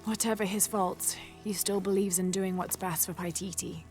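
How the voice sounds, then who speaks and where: A woman playing a determined, troubled young female adventurer in a video game cutscene, speaking earnestly and with measured thought. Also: mid-range voice, steady tone, a little mellow, lightly acted. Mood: solemn. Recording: game cutscene with music behind.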